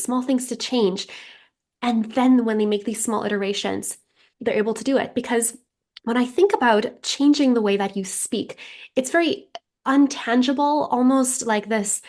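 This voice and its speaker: A woman hosting a podcast interview, enthusiastic and reflective, leaning on "like" as she thinks aloud. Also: on the high side. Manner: enthusiastic, reflective, frequent "like" fillers. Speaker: podcast host.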